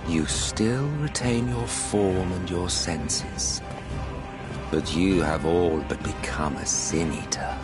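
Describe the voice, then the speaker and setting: Low-voiced, scornful immortal villain over music. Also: male voice. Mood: ominous. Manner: theatrical, condescending, drawn-out sardonic delivery. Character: ancient villainous immortal sorcerer, male, scornful, theatrical, world-weary. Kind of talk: dramatic video game voice acting.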